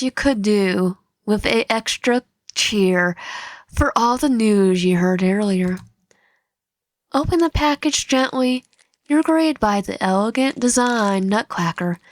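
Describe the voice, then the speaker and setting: A woman reading visual novel game text aloud in a halting way, often stumbling and correcting herself. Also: mid-range voice, no room sound.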